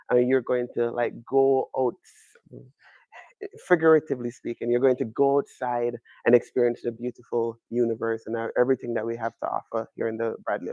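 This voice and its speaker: A man's voice: mid-range voice, no echo, dull audio. A man in his thirties with a Jamaican accent, an astronomy professor giving an upbeat, chatty public lecture in an observatory room. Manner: upbeat, chatty, joking with the audience.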